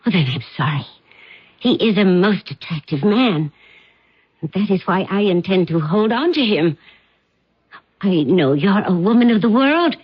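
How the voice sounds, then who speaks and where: An actress in a radio drama playing a possessive wife who mocks her husband in sugary, drawn-out words. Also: medium pitch, expressive, slightly rough. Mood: firm, possessive. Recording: dull vintage radio drama recording.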